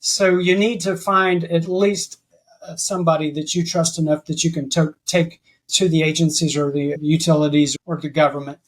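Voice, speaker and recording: A man's voice: a little high, steady tone, moderate pace. A man talking as a podcast guest in a rambling way, often restarting his sentences. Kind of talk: casual interview chat.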